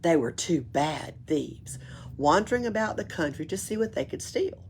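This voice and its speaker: A woman's voice: low-pitched, big pitch swings, moderate pace, a little hiss or hum. A woman reading a children's book aloud expressively, as at a library storytime.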